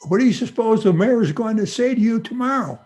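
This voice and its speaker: Eager inquisitive boy, high, lively intonation, perky, radio comedy. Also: fast talker. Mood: eager, curious. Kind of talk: old-time radio comedy acting.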